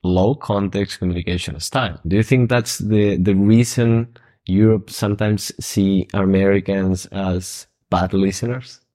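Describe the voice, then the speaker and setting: A man from Argentina co-hosting a podcast, explaining in a measured way. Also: low-pitched, fairly even intonation, mellow. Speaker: podcast co-host. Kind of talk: casual conversational podcast.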